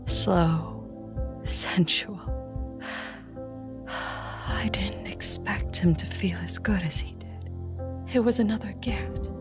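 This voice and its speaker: An actress playing an ancient vampire conqueror in an audio drama, sensual and intimate, with a breathy, near-whispered delivery. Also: female voice, fairly low pitch. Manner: slow, sensual, hushed. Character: ancient female vampire conqueror, sensual, tender, teasing lover.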